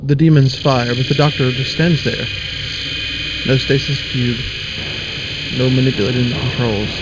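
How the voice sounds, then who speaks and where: A man reading the stage narration of an amateur audio drama in a plain, measured way. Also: mid-range voice, fairly even intonation, noisy background. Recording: dull audio, loud music.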